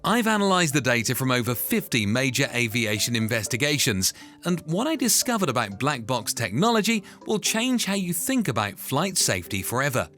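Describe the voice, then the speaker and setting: A man's voice: mid-range voice, very animated, a little fast, bright, forceful tone, soft background music. A man narrating a scripted explainer in an emphatic, dramatic way that builds suspense.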